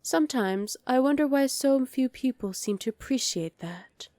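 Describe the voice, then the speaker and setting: A woman's voice: high-pitched, lively intonation, normal speed, dark tone, no echo. A woman voicing a dominant, haughty evil queen in an ASMR roleplay, imperious, sly and condescending. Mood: smug, commanding.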